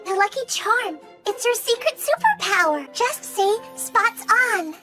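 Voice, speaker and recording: A woman voicing a tiny cheerful female magical creature in a cartoon, explaining in a squeaky, bubbly way over loud music. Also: sing-song, measured pace, heavily acted. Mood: chirpy. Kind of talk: cartoon dub acting. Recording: music underneath from a cartoon clip.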